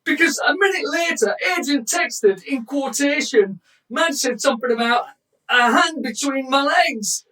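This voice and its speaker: Radio drama: actress as female football club owner and property magnate. Blustering, exasperated, emphatic, higher-pitched, slightly off-mic. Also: lively intonation, measured pace, pushed and bright, heavily acted. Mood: panicked, ranting. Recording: recorded at a distance from the mic.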